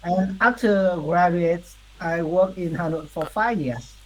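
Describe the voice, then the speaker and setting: A woman, an English student speaking in halting, hesitant learner English. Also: fairly low pitch, low-quality recording. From Vietnam.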